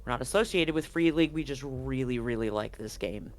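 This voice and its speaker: A woman's voice: rumbling low, brisk, darkish tone. A woman as game master, recapping the story in a chatty, rambling way with frequent restarts. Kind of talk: recapping a tabletop game to a live audience.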